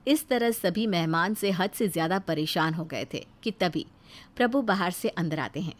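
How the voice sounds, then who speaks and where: A woman's voice: fairly low pitch, expressive, normal speed. A woman reading a scripted family story as its narrator, in a storytelling style.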